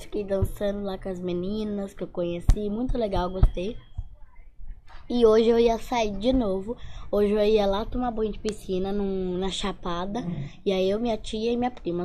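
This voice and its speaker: A young woman, slightly hoarse, rambling through a home vlog and talking right to her viewers. Her pitch sits at a middling height, and there's a faint hiss under the recording. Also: dark tone. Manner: chatty, rambling, addresses viewers directly. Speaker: vlogger. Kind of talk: casual vlog chat.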